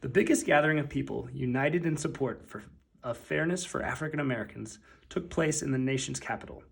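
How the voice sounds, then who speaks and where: A man reading a children's picture book aloud as narrator, with deliberate, emphatic phrasing. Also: mid-range voice, creaky, slightly rough.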